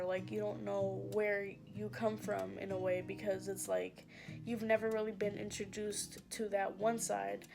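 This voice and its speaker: A young woman vlogger chatting casually and personally to her audience, hesitant and halting, with many pauses and fillers. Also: medium pitch, a little mellow. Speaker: vlogger hosting own channel. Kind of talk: casual confessional chat to camera.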